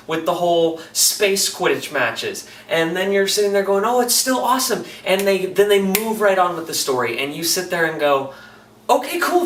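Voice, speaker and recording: A man's voice: a little high, fairly bright tone, a little hiss or hum. A man reviewing a film in a casual, enthusiastic vlog style, talking colloquially and animatedly and acting out reactions in quoted asides. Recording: roomy echo, distant microphone.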